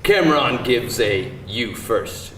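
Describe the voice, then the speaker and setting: A man's voice: mid-range voice, lively intonation, slow, sharp tone. A man narrating stage directions from a script at a live screenplay table read. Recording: distant mic, echoey live room, faint noise.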